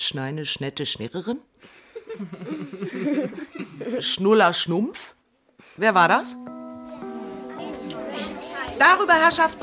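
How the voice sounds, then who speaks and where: A woman playing a schoolteacher in a children's audio drama, speaking with measured, schoolmistress phrasing. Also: medium pitch, heavily acted. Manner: measured, lively intonation. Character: schoolteacher, kind but stern. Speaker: teacher.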